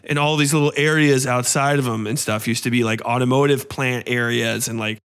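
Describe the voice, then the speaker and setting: An American man with a medium-pitched voice talks as a podcast co-host. He rambles casually through his retelling, swearing freely and leaning hard on words for emphasis. The style is loose, conversational film discussion. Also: fairly even intonation, brisk, a bit bright, clear, resonant tone. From United States. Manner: rambling, emphatic, acts out quoted dialogue. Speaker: podcast co-host reviewing films.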